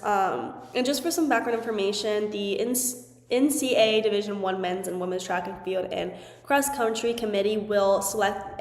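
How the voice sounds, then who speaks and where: A woman's voice: medium pitch, a little mellow. A young woman hosting a sports podcast, stuffy from a cold, chatting casually with lots of "like" and "um". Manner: chatty, frequent "like" and "um" fillers. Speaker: podcast host. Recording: echoey room with long reverb.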